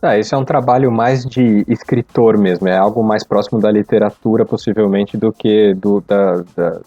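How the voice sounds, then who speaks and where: Low, mellow man. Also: steady tone, moderate pace, no echo, dull audio, faint music behind. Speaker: podcast participant. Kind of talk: casual conversation, asking questions.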